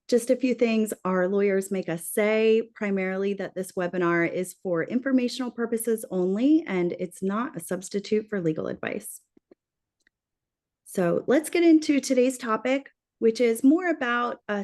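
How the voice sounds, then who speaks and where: A woman's voice: on the low side, a little mellow. A woman co-hosting a professional webinar, losing her voice, talking in a friendly, lightly scripted way with some fillers. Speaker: webinar co-host. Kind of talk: corporate webinar.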